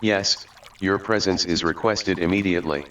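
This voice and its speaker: A man doing a deep, formal palace servant. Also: moderate pace, distant mic, lo-fi sound, a little hiss or hum. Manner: flat, formal summons.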